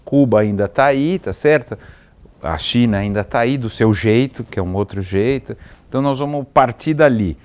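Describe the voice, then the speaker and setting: A man with a Brazilian accent hosting a casual interview, haltingly rephrasing his points and seeking confirmation. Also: medium pitch, a little mellow, clear, resonant tone. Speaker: interview host.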